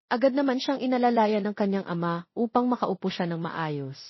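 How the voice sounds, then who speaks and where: A woman's voice: mid-range voice, expressive, fairly quick, no echo, highs cut off. A woman narrating a scripted love story as an audiobook reader.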